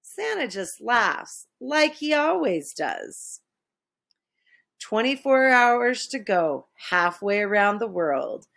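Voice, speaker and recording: A woman reads a children's storybook aloud at a leisurely pace. Her voice rises and falls in a lively, dramatic way, like someone performing each line for young listeners. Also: fairly high pitch, takes it slowly, vocal fry. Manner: animated, dramatic, with hushed asides. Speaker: storybook reader.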